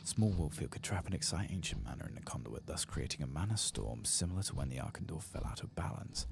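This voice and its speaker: Very deep, gravelly soft-spoken man. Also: sing-song, fast. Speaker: gaming streamer. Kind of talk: soft-spoken ASMR game playthrough.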